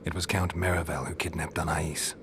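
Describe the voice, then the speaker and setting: An actor playing a stoic monster hunter in a fantasy game, speaking tersely and deadpan in a gravelly voice. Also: male voice, very deep voice, a little fast, noisy background. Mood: matter-of-fact. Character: gruff, stoic male monster hunter for hire. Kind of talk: video game dialogue acting.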